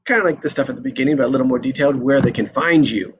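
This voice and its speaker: A man's voice: mid-range voice, rapid-fire, highs cut off. Man hosting casually over a noisy call. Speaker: host of an online table read. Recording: video call with clear background noise.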